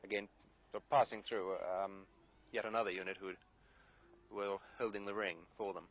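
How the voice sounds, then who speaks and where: A man speaking as an army officer, giving a hesitant, formal and understated briefing with many halting pauses. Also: lowish voice, fairly even intonation. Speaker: British Army battalion officer. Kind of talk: unscripted briefing to an interviewer. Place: outdoors, overlooking the area.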